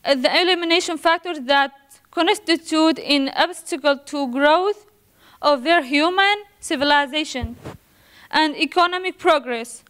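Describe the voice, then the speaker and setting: A young woman from Iraq with a high-pitched voice delivers a student presentation. She sounds nervous and reads carefully in a formal academic style. Her delivery is halting, and she often restarts words she has stumbled on. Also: fairly bright tone. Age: young adult.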